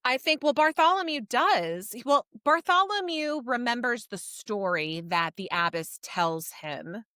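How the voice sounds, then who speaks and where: A woman with a bright, forward voice talks through a book as a podcast co-host. She explains in a casual, animated way, and the sound is close and dry. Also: fairly high pitch, a little slow, smooth, no room sound. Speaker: podcast co-host reviewing books.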